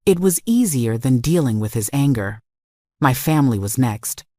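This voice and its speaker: A woman with a low voice delivers scripted, dramatic first-person narration. Her manner is confessional, leaning on short emphatic phrases. The recording is dry and close. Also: lively intonation, moderate pace, mellow, dry recording. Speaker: story narrator.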